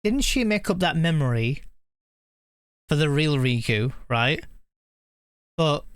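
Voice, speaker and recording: A woman's voice: deep voice, expressive, takes it slowly, dry recording, studio quality. A woman game streamer giving casual live reactions, musing aloud and repeating herself as she works things out.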